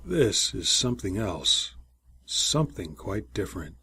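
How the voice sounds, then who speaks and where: A man's voice: on the low side, very animated, a little slow, sharp tone, slight background noise, exaggerated voice. A man voicing an eager, sly old space station captain in an audiobook, snapping out orders and relishing his words. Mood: gleeful, intense. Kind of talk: audiobook character voice.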